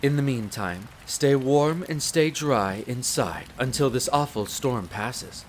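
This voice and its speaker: A man acts out a devoted wolf butler in a fantasy audio drama. His pitch sits mid-range for a man, and his speech is formal, polite and deferential. Rain patters behind him. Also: slow pace. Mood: reading aloud, earnest. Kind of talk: scripted roleplay audio drama. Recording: rain noise over the voice. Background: steady rain.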